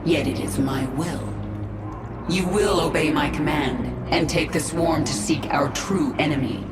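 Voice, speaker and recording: A woman's voice: deep voice, a little slow, loud music behind the voice. Echoing, commanding swarm queen. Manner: grave, authoritative. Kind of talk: dramatic video game acting.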